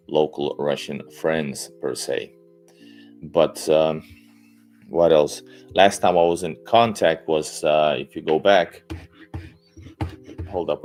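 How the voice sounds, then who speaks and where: A man's voice: rumbling low, a little mellow. A man hosting a casual live stream, talking hesitantly with many fillers and restarts. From Finland.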